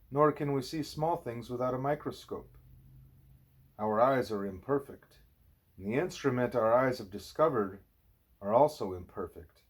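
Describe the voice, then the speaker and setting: A man reading a scripted spiritual dialogue as an audiobook narrator, with emphatic, deliberate phrasing. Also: mid-range voice, husky. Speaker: audiobook reader.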